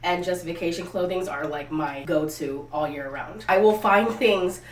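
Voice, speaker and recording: A woman's voice: on the low side, normal speed. A young woman with a stuffy cold hosting a casual clothing try-on vlog, talking candidly and straight to her viewers. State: congested from a cold, sniffly. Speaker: fashion vlogger, channel host. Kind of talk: casual try-on haul chat to camera. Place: outdoors, windy. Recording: roomy echo, distant mic, faint ambient noise.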